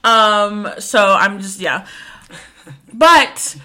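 A woman's voice: medium pitch, animated, drawn-out words, pushed and bright. A woman in her twenties co-hosting a podcast, telling stories in casual, informal chat. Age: 20s. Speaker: podcast co-host.

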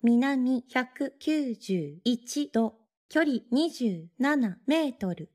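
A woman voicing a hearing aid's navigation system, making measured, formal announcements in an audio drama. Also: fairly high pitch, animated, warm tone, faint music behind, full character voice. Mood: neutral, informative. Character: female navigation voice of a hearing aid device.

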